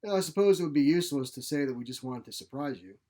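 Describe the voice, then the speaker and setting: A mild, measured professor in his sixties, played by a male narrator. He is a conciliatory, spineless grown son in a storybook tale. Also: mid-range voice, animated, warm tone, lightly acted. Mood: mild, evasive. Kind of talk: short story character reading.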